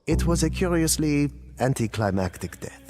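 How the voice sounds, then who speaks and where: A man playing a grave storyteller in a game cutscene, narrating ancient wars in a solemn, theatrical, deliberate way. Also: a little high, animated, natural voice. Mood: somber. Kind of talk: dramatic scripted storytelling narration.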